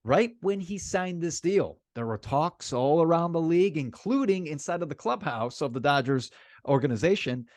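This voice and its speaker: Male sports podcast co-host, raised pitch, dry recording, emphatic and repetitive delivery, conversational. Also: animated, moderate pace, darkish tone, no echo. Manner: emphatic, repeats phrases for effect. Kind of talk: casual sports chat.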